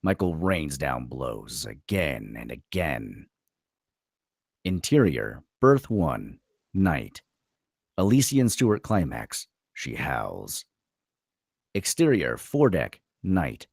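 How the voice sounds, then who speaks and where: A man's voice: very deep voice, big pitch swings, slow, gravelly, slightly rough, no room sound, clean studio sound. A man reading screenplay stage directions as dramatic scripted narration.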